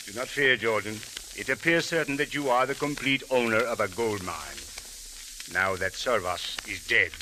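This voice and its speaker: An actor playing a stern, suspicious police captain, speaking formally and deliberately in old radio drama style. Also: male voice, on the low side, lightly acted. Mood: official, dry. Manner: formal, deliberate, uncontracted speech. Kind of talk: 1940s-50s radio detective acting. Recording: hissy old radio recording.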